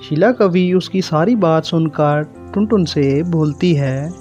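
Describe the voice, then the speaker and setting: A man's voice: a little high, takes it slowly, darkish tone. A man narrating a scripted cartoon moral story as the storyteller, in a lo-fi recording. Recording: dull lo-fi sound with music. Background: music.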